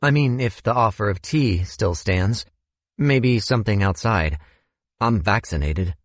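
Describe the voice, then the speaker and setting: A man's voice: on the low side, lively intonation, measured pace. Man playing a tentative, polite young guy. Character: reserved young American man, awkward amateur private detective.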